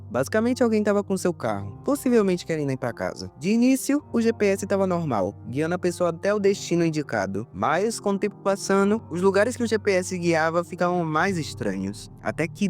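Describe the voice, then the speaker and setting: A man's voice: high-pitched, darkish tone. A man with a Brazilian accent narrating a scripted explanatory video essay.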